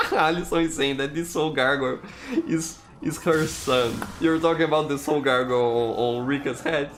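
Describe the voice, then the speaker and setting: A man's voice: on the high side, normal speed, fairly bright tone. A young man from Brazil with a Brazilian Portuguese accent, streaming live gameplay and chatting casually with his chat, full of restarts and "you know".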